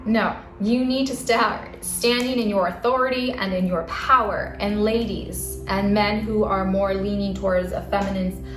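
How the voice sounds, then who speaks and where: Soft-spoken woman teaching in a roomy space. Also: mid-range voice, fairly even intonation, moderate pace, hardly any pauses. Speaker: spiritual channel host. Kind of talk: casual spiritual teaching to camera. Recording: roomy echo, faint music.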